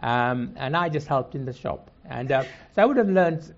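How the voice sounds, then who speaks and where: Older man, grew up in Kenya speaking Swahili and Gujarati, relaxed storytelling guest, slightly dull lo-fi sound. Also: mid-range voice, normal speed. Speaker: guest, cancer surgeon. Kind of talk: conversational storytelling. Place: before a live audience in a hall.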